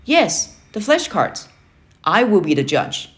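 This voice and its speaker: A woman's voice: medium pitch, sing-song, slow, voice pushed up. A woman voicing a stern, demanding military uncle in a children's storybook, curt and commanding. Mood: brisk, challenging. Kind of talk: children's storybook character reading.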